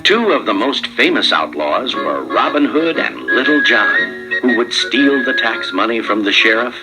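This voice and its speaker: A man narrating a children's read-along storybook in an expressive, scripted read-aloud style. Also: medium pitch, a little slow, rough recording. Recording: music playing behind narration. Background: music.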